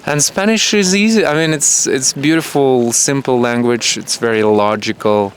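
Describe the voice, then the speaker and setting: Male guest, Russian/Ukrainian native, expressive and lively, medium pitch, light noise floor. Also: normal speed. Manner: animated, acts out voices and jumps up in pitch. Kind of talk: casual conversation.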